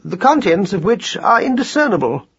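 A man's voice: sits high, big pitch swings, moderate pace, a bit bright, breathy edge, muffled recording, voice pushed up, heavily acted. A man voicing a learned, gloomy male mouse who talks in a pompous, wordy, formal way.

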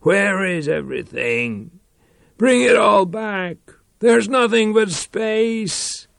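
A man voices a desperate man coming apart, hoarse and gravelly, his slow words swinging wildly in pitch as he pleads and cries out. The sound is dry and close, like a radio drama studio. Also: big pitch swings, fairly bright tone, dry recording, full character voice. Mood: panicked. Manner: pleading, cries out, wavers into sing-song. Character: desperate man unravelling into panic and madness.

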